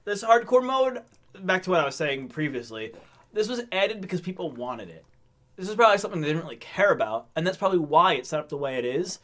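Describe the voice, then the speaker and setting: A man's voice: fairly high pitch, very animated, fast, fairly bright tone. A man co-hosting a casual gaming podcast, talking animatedly, laughing often and restarting his words. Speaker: podcast co-host. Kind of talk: casual chat.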